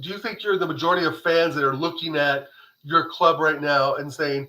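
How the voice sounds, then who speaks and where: A man's voice: fairly high pitch, fairly even intonation, a little fast, pushed and bright, low-quality recording. A man in his forties chatting about football. He pushes back on the others with pointed questions. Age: 40s. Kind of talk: casual football chat.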